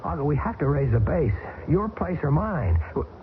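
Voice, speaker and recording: An actor playing a wisecracking American pilot stranded in the Arctic, jaunty and joking, in an old radio drama. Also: male voice, on the high side, sing-song, moderate pace. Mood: urgent. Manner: jaunty, joking, turning alarmed. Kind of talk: 1970s radio drama acting. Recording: hissy, tinny old radio broadcast.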